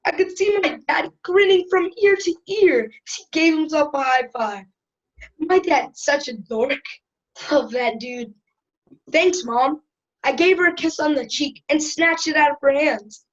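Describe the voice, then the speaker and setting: A woman's voice: sits high, unhurried, highs cut off. A woman playing a cheeky, chatty schoolboy who tells his story in a wry, conversational way. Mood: chatty, amused. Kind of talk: first-person storytelling in audio drama.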